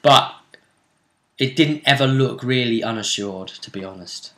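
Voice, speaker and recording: A man's voice: mid-range voice, unhurried, fairly bright tone. A man hosting a tabletop role-play tournament show, talking casually as he comments and speculates.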